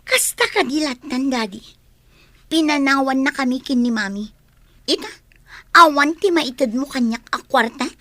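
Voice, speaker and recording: High, bright demanding Ilocano daughter played by a woman. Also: moderate pace, pauses often, no room sound. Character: demanding, spoiled daughter nagging for money and belongings. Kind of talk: radio drama acting.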